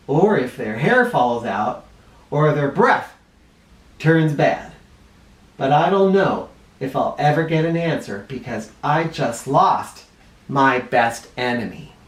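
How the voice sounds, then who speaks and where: A man reads a picture book aloud to children with a very animated, swooping delivery. He leans on key words for dramatic effect and addresses young listeners directly. The sound is roomy and echoing, a little away from the microphone. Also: fairly high pitch, takes it slowly, far from the mic. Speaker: children's storybook reader. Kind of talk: expressive read-aloud storytelling for children.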